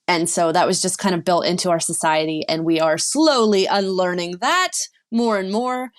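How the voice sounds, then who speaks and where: Young woman coach, low voice, chatty. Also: moderate pace. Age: young adult. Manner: earnest, giggly, many fillers. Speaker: sex and relationship coach, guest. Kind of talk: casual podcast chat.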